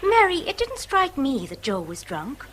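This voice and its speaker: An actress playing a probing, sceptical aunt with a pointed, questioning manner in a radio drama. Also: female voice, high-pitched, wildly swooping pitch, normal speed, fairly bright tone, slight background noise, heavily acted. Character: probing, faintly sceptical society aunt and hostess. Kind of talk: stage-style radio drama acting.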